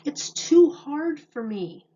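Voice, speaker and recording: A small storybook turtle boy, played by a woman reader, speaks in a raised, childlike pitch. He is plaintive and quick to exclaim, easily discouraged yet eager. The sound is slightly dull. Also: animated, warm tone.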